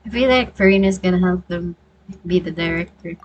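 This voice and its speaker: A woman's voice: lowish voice, a little fast, lo-fi sound, slight background noise. A woman streamer giving casual, chatty reactions to a video game.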